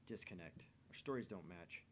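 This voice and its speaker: A man's voice: low-pitched, lively intonation, normal speed, pitched below the performer's own voice. A man voicing an intense, bewildered young man from a visual novel, dramatic and questioning. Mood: dramatic, troubled. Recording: faint background noise.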